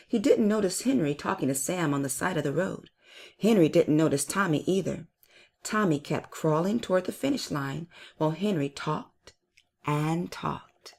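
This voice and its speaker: A woman hosting and narrating a children's read-aloud story with expressive, emphatic delivery. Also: lowish voice, creaky. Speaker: storyteller and channel host.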